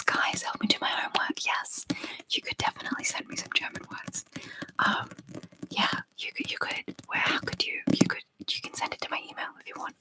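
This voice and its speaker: A woman's voice: mid-range voice, low-quality recording. A young woman whispering close to the microphone as an ASMR creator, reading chat in a giggly, unsure way and trying out foreign words.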